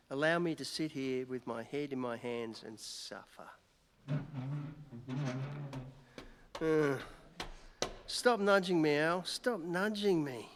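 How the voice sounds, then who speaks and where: An actor playing a boozy, wheedling New York newspaper reporter in a 1940s radio play, halting and coaxing. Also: mid-range voice, slight background noise, close to an everyday voice. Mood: groggy, suffering. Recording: echoey hall, music behind.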